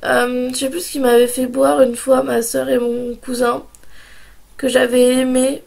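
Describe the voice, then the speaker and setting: A woman in her early twenties, hoarse from a sore throat and a cold, talking in a hesitant casual vlog with many pauses and restarts. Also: sits high, flat delivery, darkish tone. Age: 20s. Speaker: vlogger.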